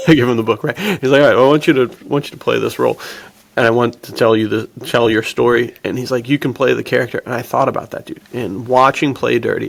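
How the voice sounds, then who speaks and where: A man's voice: medium pitch, creaky. A man chatting informally as a podcast host, in slangy talk sprinkled with "dude" and "like". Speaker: podcast host and adventure fiction writer. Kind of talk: casual film discussion chat.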